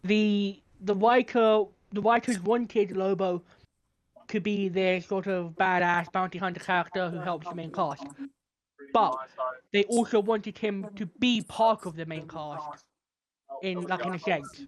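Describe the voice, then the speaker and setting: Man, podcast co-host, casual chat, measured, critical, formal phrasing. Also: sits high, steady tone, crowd noise, poor audio. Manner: measured, critical, speaks without contractions.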